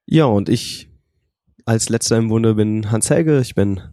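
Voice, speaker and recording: A man in his twenties, a student, introducing a podcast as co-host in a relaxed, slightly hesitant way. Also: lowish voice, a little slow. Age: 20s.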